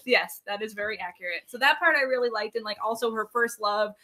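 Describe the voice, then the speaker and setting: A woman's voice: on the high side, quick pace, heavy background noise. A young woman co-hosting a live book club, chatting casually, swearing freely and acting out characters' thoughts.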